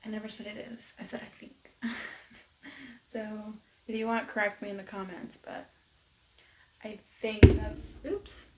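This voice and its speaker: A woman losing her voice, chatting casually and rambling through a makeup tutorial with soft near-whispered asides. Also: medium pitch, flat delivery, a little slow, long pauses, roomy sound, a little hiss or hum, poor audio. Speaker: beauty vlogger. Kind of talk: casual get-ready-with-me makeup chat. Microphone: close mic at desk.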